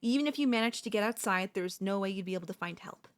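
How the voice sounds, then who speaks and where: A woman playing an obsessive female pop idol turned kidnapper in an ASMR roleplay, speaking in a soft, coaxing, doting way with menace underneath. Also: mid-range voice, quick pace, lightly acted. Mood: calm, menacing.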